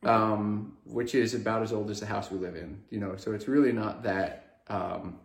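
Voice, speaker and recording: Low-voiced man in his thirties, echoey room. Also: fairly even intonation, normal speed, gravelly. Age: 30s. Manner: hesitant, many fillers and restarts. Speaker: vlog co-host. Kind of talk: casual chat.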